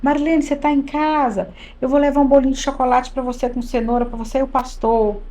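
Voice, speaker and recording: A woman in her early fifties, from Minas Gerais, speaks as a guest on a church podcast. Her voice sits a little high. She talks with earnest emphasis, circling back to repeat her key phrases. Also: flat delivery, fast, mellow. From Minas Gerais, Brazil. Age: 50s. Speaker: pastor's wife, podcast guest. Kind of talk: conversational testimony.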